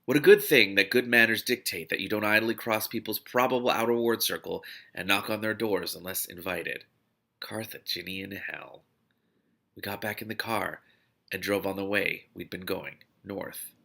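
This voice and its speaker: Bright-voiced man reading a novel aloud. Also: lowish voice, normal speed, creaky. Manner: animated, breaks off to react excitedly. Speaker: host of a read-aloud book series. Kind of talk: expressive novel reading with spontaneous reactions.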